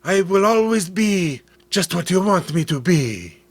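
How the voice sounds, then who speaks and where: A man's voice: high-pitched, animated, a little slow, slightly rough, voice pushed up, full character voice. A man voicing an enchanted statue woman, tender and devoted, in a soothing, compliant manner for a horror comic. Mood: tender, reassuring.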